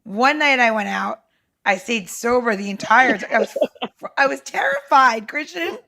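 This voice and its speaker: Woman just chatting, kinda high voice, emphatic, asks stuff like she can't believe it, swears a lot. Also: big pitch swings, measured pace, creaky, pushed and bright. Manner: emphatic, incredulous, swears freely. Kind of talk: casual chat, asking questions.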